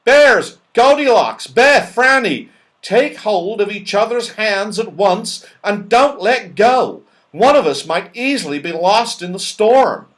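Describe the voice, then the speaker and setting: Man playing anxious storybook leader, bossy, higher-pitched, swooping intonation. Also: expressive, takes it slowly, a bit bright, full character voice. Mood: alarmed.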